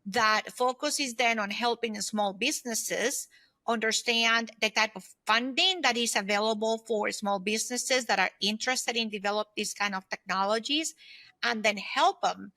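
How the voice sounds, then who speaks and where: Female, 50s, interview guest. Bright, forward voice, slightly high, dry close sound, earnest explainer. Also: moderate pace, no echo. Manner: earnest, explanatory, often checks in with "right?". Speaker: podcast guest, consultant and former aerospace executive. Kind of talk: conversational interview answers.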